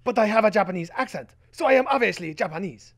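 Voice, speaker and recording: Comic fake ninja , man putting on a voice, stilted, threatening, animated. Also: high-pitched, quick pace. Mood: mock proud. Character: boastful pretend male ninja with a fake accent.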